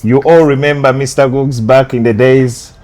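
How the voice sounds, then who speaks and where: A man hosting a casual interview, with a lively introduction to the show and then short, steady prompts and agreements. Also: medium pitch, moderate pace. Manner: lively host intro, otherwise brief affirmations. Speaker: interview host.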